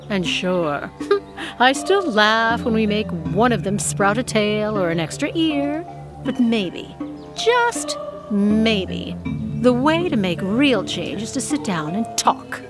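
A woman playing a weary, sardonic witch leader in an audio comedy, speaking in a dry, exasperated, theatrical way. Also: on the high side.

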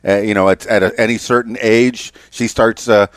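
A man in his fifties hosts a podcast interview in a somewhat low voice. He speaks haltingly, often repeating words and restarting. The sound is dry and close. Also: fairly even intonation, no echo. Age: 50s. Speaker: podcast host. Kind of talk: interview conversation.

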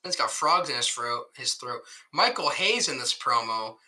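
A man's voice: mid-range voice, moderate pace, poor audio. A man co-hosting a podcast, casually recapping with frequent laughs and plenty of swearing. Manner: laughs often, swears freely, animated recapping. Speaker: podcast co-host. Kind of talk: casual chat.

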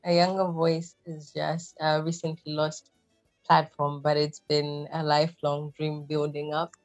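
Low, level-voiced woman from Zambia. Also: monotone, unhurried, dry recording, faint music behind. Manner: thoughtful, explanatory, with frequent fillers. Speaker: podcast guest. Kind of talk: conversational interview answers.

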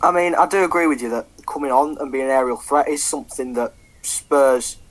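A man's voice: mid-range voice, brisk, rough recording. Young man, casual football chat, swears a lot, lots of ums. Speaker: podcast co-host.

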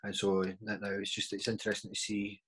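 Man, 40s, Glaswegian accent, lowish voice, halting, repeats words, casual podcast guest. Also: monotone, a bit bright, husky. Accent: Glaswegian Scottish. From Scotland. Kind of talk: casual interview chat.

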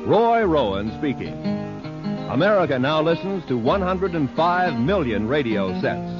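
A man with a fairly high, very animated voice announces in formal vintage network radio style. His scripted delivery is declamatory, on a dull old broadcast recording with music behind it. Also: measured pace.

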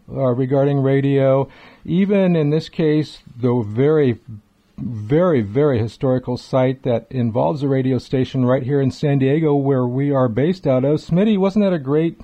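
Mellow-voiced male radio host, dull recording. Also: mid-range voice, normal speed. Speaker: radio talk show host. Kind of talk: enthusiastic conversational show introduction. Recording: dull, lo-fi sound.

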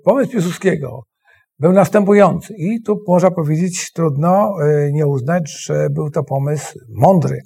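A man's voice: fairly high pitch, measured pace, gravelly, warm tone, slightly rough. A man, a history professor, explaining his subject off the cuff as an interview guest. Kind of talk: spoken historical explanation, unscripted.

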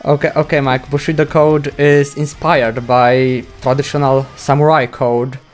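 A man with a Polish accent hosting a metal interview, native Polish, halting and searching for his English words. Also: mid-range voice, flat delivery, faint music behind. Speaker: interview host.

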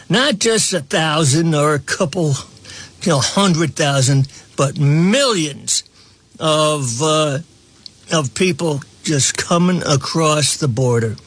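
A man's voice: on the high side, expressive, slow pace, fairly bright tone. A man hosting a talk show, delivering a rambling political commentary monologue with frequent restarts and chuckles.

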